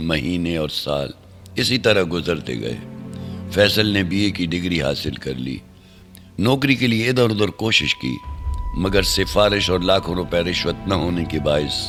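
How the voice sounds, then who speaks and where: Male narrator for radio drama: very low, solemn storytelling, soft music underneath. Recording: faint music behind the voice.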